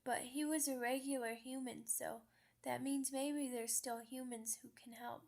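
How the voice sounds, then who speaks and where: A woman's voice: high voice, fairly even intonation, normal speed, creaky, darkish tone. A woman voicing a sad, earnest young female werewolf pup in an ASMR roleplay, with hesitant, childlike phrasing. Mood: sleepy, hopeful.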